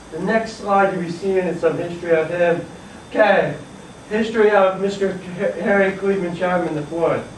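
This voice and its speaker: A teenage boy giving a nervous, stumbling class presentation in a school classroom, recorded by a camera across the room. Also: high-pitched. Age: teens. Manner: nervous, laughing, stumbling over words. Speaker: student presenter. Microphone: far camera. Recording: echoey classroom from far off, faint hiss.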